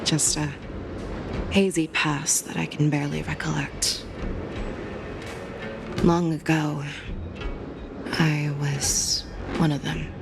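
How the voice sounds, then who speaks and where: Low-voiced, composed general. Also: female voice, level tone, gravelly, music in the background, heavily acted. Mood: grave, reflective. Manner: measured, commanding. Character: composed, resolute fox-woman general with grim past. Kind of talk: dramatic video game voice acting.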